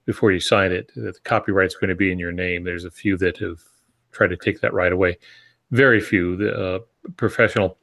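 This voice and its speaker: An older man in his sixties explains things casually in a lowish voice. He speaks as an experienced panelist, pausing between phrases as he gives advice. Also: a bit bright. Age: 60s. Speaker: podcast co-host and published author. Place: home office, indoors. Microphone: earbud headset on video call.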